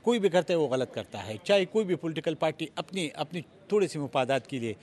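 Pakistani male politician, 50s, fairly high voice, hesitant interviewee, handheld mic outdoors. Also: lively intonation, fast, darkish tone. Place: outdoors, parliament forecourt. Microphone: handheld interview microphone.

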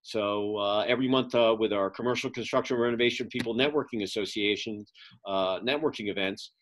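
A man's voice: fairly low pitch, a little fast. Middle-aged man on a video call. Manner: rambling, frequent "uh" fillers. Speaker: magazine publisher and event producer. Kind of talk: casual update on a video call. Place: home office with bookshelves. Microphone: video call webcam.

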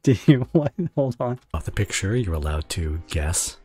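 A man's voice: low-pitched, very animated, normal speed, a little mellow, husky, faint music behind. A man streaming from home, chatting casually with viewers in a rambling, self-interrupting way and laughing often. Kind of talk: casual livestream chat with viewers.

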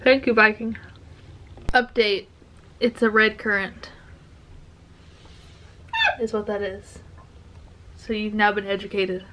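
A woman's voice: mid-range voice, little pitch movement, slow pace, pauses often, a bit bright, a little hiss or hum. A woman with a Southern US accent, chatting to the camera in a dry, teasing way while filming herself in a ship cabin at arm's length. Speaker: travel vlogger. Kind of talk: casual chat to camera. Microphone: phone or camera held at arm's length.

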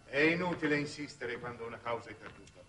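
An actor playing a pompous, disapproving government minister in a 1960s Italian television drama. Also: male voice, mid-range voice, fast, noisy background, lightly acted. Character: pompous, disapproving male minister for extraterrestrial territories. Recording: echoey television studio.

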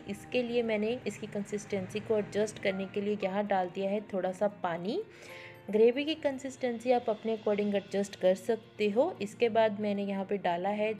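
A woman presents a cooking tutorial as a voice-over, with a medium-pitched voice. She explains each step in an instructional way and drops in English words, with faint music playing behind her. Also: dark tone. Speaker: cooking show host.

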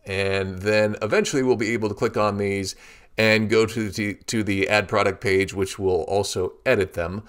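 A man teaching a coding tutorial, explaining each step in a steady walkthrough while he works at his computer. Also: on the low side, normal speed, smooth. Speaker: programming tutorial instructor. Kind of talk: screen-recorded coding walkthrough.

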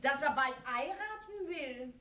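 High, showy voice of a vain, theatrical older lady from Paris, slipping French phrases into every sentence. She is heard from across a roomy television stage, with a faint hiss underneath. Her delivery is grand and affected. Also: animated, unhurried, far from the mic, a crowd in the background. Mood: fond. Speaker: Tante Mary, elegant aunt from Paris. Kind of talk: 1970s televised stage comedy.

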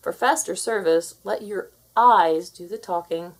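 A woman's voice: fairly low pitch, measured pace, breathy edge. A woman voicing a confident, bossy alpha cat who lectures kittens, in a theatrical storybook read-aloud style. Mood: sly, instructive.

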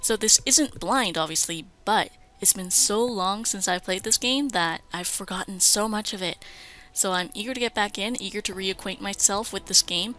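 A woman's voice: medium pitch, normal speed, bright, forceful tone, faint music behind. A woman hosting a let's play with casual, enthusiastic, chatty commentary. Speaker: gaming host.

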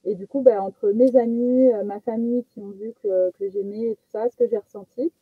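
Young female comedian from French Guiana, casual self-mocking storyteller, slightly high voice, tinny phone-like call audio. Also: fairly even intonation, brisk, breathy edge, no echo. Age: young adult. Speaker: guest stand-up comedian. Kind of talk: casual chat, telling personal anecdotes. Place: small attic bedroom. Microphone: headset on a video call. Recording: muffled phone-like video call sound.

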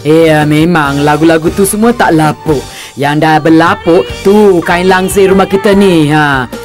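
A man's voice: fairly high pitch, unhurried, natural voice. Man playing a nagging, exasperated cartoon mother. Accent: Singaporean Malay. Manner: nagging, complaining. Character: nagging, exasperated middle-aged housewife and mother. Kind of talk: cartoon voice acting. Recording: music clearly under dialogue.

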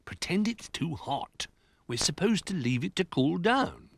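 A man voicing a brisk, bossy male teddy bear in a children's story, emphatic and instructing. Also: a little high, sing-song, vocal fry, husky, no room sound, pitched above the performer's own voice, full character voice.

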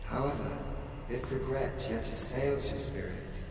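An actor playing a solemn, imperious hooded guide of fate in a video game, speaking in grave, archaic, deliberate phrases. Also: male voice, medium pitch, background noise, lightly acted. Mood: solemn, admonishing. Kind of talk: scripted video game cutscene acting. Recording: cavernous reverb, distant, under loud music.

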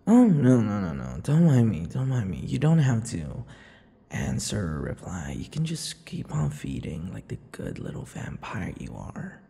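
A man playing a possessive vampire lover in an intimate ASMR roleplay, teasing and menacing, murmuring slowly with drawn-out words. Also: wildly swooping pitch, dark tone, full character voice. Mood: coaxing, possessive. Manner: slow, breathy murmurs, drawn-out words, low chuckles. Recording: long reverb, faint background noise.

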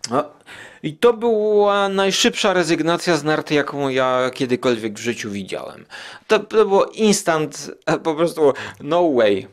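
A man's voice: a little high, expressive, unhurried. A man hosting a podcast solo in a casual, rambling, conversational way, often correcting himself. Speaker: podcast host. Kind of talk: casual solo podcast talk with poem reading.

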